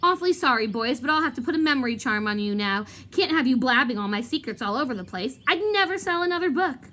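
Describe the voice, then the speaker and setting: A woman's voice: high voice, expressive, quick pace, fairly bright tone. A woman voicing a vain, cowardly male wizard professor with a pompous, hesitant manner in a storybook reading. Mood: smug. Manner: pompous, hesitant, self-important.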